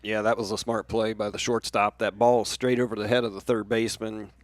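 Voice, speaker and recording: A man's voice: on the low side, level tone, fairly quick, no echo. An American man giving conversational color commentary on a baseball game, with plainspoken, coach-like explanations.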